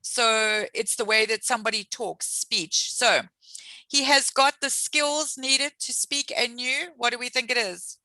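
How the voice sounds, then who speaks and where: A woman leads an online English class in a bright, clear voice. She talks at an unhurried pace and patiently walks through word meanings with examples. The sound is dry and close. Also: fairly high pitch, no room sound, poor audio. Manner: patient, encouraging, explains words with examples. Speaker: English teacher. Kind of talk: online English lesson with students.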